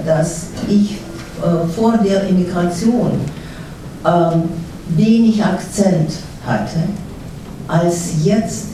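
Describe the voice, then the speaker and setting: A middle-aged woman from Russia speaking with a Russian accent, a guest author at a panel talk who pauses often with fillers and restarts. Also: on the low side. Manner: halting, many fillers and restarts. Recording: echoey hall from far off, faint noise.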